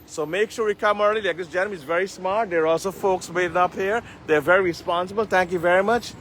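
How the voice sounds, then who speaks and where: A man's voice: high voice, quick pace, fairly bright tone. A man live-streaming as a community leader, addressing viewers in earnest, formal public-speaker phrasing. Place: outdoors on a city street. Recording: outdoor street noise, passing vehicles. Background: street ambience and traffic.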